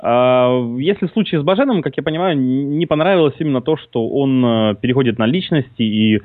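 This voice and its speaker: A man asking interview questions over the phone in a measured, questioning way. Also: mid-range voice, dry recording. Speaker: prank caller posing as interviewer. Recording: dry tinny phone line.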